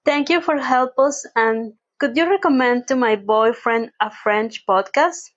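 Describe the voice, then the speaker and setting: A woman's voice: fairly high pitch, a little slow. Mexican woman leaving a muffled voice message. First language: Spanish. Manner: careful learner English with small grammar slips. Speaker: listener sending voice message.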